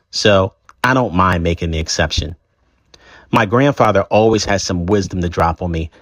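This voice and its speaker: A man reading a first-person chapter book aloud in a conversational, expressive way. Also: lowish voice, no echo, studio quality. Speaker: storybook reader.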